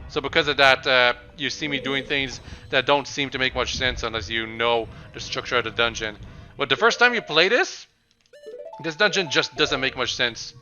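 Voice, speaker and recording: A young man with a slightly raspy voice, giving casual, rambling commentary over a video game with frequent pauses and restarts. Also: medium pitch, bright, forceful tone, dull audio, soft background music. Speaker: let's play commentator.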